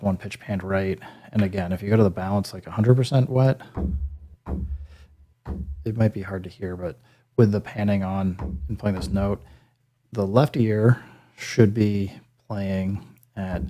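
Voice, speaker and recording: A man hosting a casual hands-on music gear tutorial, thinking aloud in a hesitant, self-deprecating way. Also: dark tone. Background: synth pad and bass sample demo sounds.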